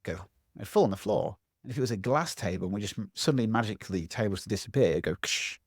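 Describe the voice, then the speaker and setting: A man, a public speaking coach giving a lively interview answer from home, acting out voices as he talks. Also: lowish voice, fast talker, no room sound, studio quality. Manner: animated, acts out other people's voices.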